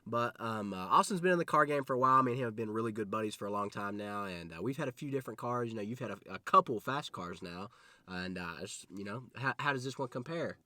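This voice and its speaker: A young man with a drawl talks fast and brightly into a camera at arm's length. He is a bit congested and rambles with excitement as he hosts his car vlog outdoors. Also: on the low side, dry recording. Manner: excited, rambling, addresses viewers as guys. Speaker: car vlogger host. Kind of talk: casual car review vlog. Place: inside a car, then outdoors in a parking lot. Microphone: handheld camera at arm's length.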